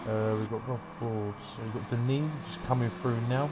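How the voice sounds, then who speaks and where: A man giving relaxed, rambling commentary on an online racing qualifying session. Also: medium pitch, moderate pace, very noisy. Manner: relaxed, rambling, self-deprecating asides. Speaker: race commentator.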